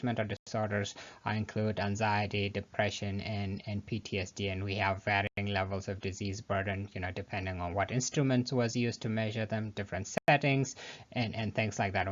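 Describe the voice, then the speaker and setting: A man with an East African accent giving an informal slide presentation as a researcher, speaking haltingly with frequent hesitations and restarts. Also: lowish voice. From South Sudan, grew up in Ethiopia and Kenya. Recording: dull, muffled lo-fi audio.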